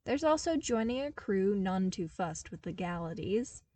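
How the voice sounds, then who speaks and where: A woman voicing an earnest, wry young man from a harsh desert world in a story reading, explaining with animated emphasis. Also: medium pitch, normal speed. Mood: sardonic, animated.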